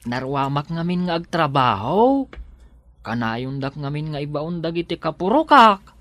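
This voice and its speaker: A man's voice: on the high side, sing-song, a little slow, fairly bright tone, dry recording. A man playing a humble village man who offers to help, polite and chatty in a radio drama. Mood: sheepish. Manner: polite, repeatedly says sir, chatty.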